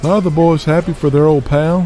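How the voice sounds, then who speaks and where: An actor playing an easygoing, hungover wrestler talking casually in an audio drama. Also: male voice, on the high side, steady tone, fast, mellow, soft background music, natural voice. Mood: groggy, casual.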